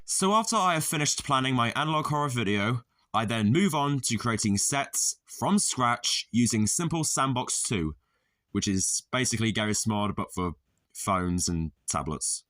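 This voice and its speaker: A man giving a casual tutorial voice-over as a video creator, with deadpan, self-deprecating, sweary humour. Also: medium pitch, normal speed. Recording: music playing under the voice. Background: background music.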